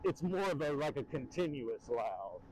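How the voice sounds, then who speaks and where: A man's voice: sing-song, moderate pace, a little mellow. A man, rambling through a casual e-bike review with many fillers while riding outdoors. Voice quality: very deep voice. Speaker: e-bike vlogger. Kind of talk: casual ride-along review. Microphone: helmet mic. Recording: wind-muffled helmet mic.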